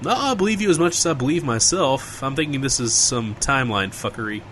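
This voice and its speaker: A man's voice: medium pitch, animated, fairly quick, fairly bright tone, slight background noise, natural voice. A man playing an easygoing, wry small-town local in casual audio drama banter, with a folksy conversational manner.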